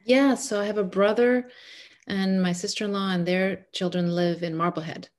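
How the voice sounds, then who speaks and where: A woman's voice: mid-range voice, steady tone, moderate pace. A middle-aged woman who grew up in Egypt, speaking as an interview guest in an earnest, chatty way full of restarts. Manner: warm, earnest, many fillers and restarts. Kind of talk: casual conversational interview.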